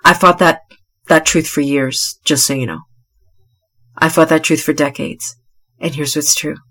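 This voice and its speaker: A woman's voice: low voice, steady tone, measured pace, fairly bright tone. A middle-aged woman hosting a motivational podcast, tired and a little stuffy, who talks emphatically and repeats key phrases. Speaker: podcast host. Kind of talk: motivational solo podcast talk.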